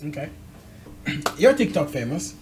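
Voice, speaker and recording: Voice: medium pitch, big pitch swings, slow, a crowd in the background. A show host conducting a casual, conversational interview, asking questions and sharing personal thoughts.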